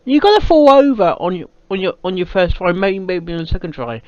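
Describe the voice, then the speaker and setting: British male reaction host, highish lively voice, halting and repetitive delivery, dull lo-fi mic. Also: no room sound. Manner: halting, repeats words and phrases. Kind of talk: casual off-the-cuff reaction commentary. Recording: dull lo-fi microphone sound.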